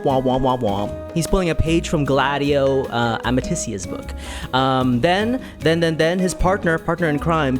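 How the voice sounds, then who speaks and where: A casual game commentator with a playful, theatrical streak. The pitch is animated and the pace easy, over clearly audible background music. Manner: playful, theatrical, sing-song asides.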